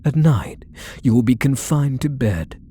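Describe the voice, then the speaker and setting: A man playing a possessive, controlling kidnapper husband in an ASMR roleplay, deliberate and menacing, with condescending endearments. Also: mid-range voice, lively intonation, mellow, slight background noise, lightly acted. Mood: possessive, smug. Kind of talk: scripted ASMR roleplay, addressing the listener.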